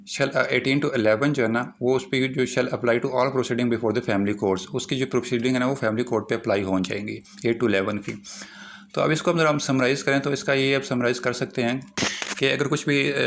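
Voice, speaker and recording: A man's voice: mid-range voice, a bit bright, a little hiss or hum. A man with a Pakistani accent gives an informal law lecture, explaining in a repetitive, step-by-step way and reading out legal clauses.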